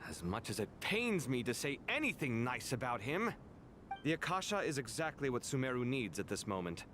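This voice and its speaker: Casting: man as young fantasy-game scholar, dry wit and concern, medium pitch, very varied intonation. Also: sing-song, fairly quick, background noise, natural voice. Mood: wry. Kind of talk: anime-style video game voice acting.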